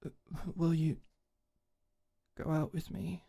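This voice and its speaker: A young woman playing a tough but awkward, secretly tender delinquent girl in an intimate ASMR roleplay, speaking softly and hesitantly. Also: low voice, flat delivery, slow, gravelly, heavily acted. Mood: nervous, earnest.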